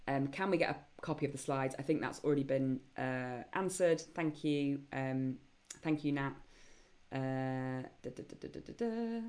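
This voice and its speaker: A woman hosting a live online Q&A session, chatty and enthusiastic, with many ums and a voice getting croaky. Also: rumbling low, lively intonation, normal speed, a little mellow. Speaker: webinar host and program founder.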